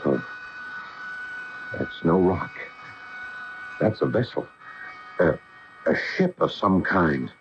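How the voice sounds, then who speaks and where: A man's voice: deep voice, animated, slow pace, long pauses. An actor playing a commanding but wary male astronaut in a 1980s radio drama, on noisy, muffled broadcast tape. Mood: reasoning, wary.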